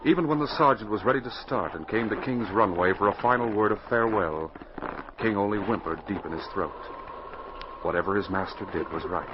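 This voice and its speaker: A man's voice: on the low side, brisk. A man narrating an adventure serial in dramatic, scripted 1940s radio style, on a muffled, noisy old recording.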